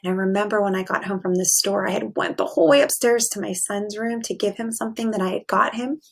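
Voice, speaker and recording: A woman's voice: mid-range voice, quick pace, gravelly, slightly rough. A woman teacher reading aloud and chatting casually to young children in an encouraging way. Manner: encouraging, asks the listeners questions.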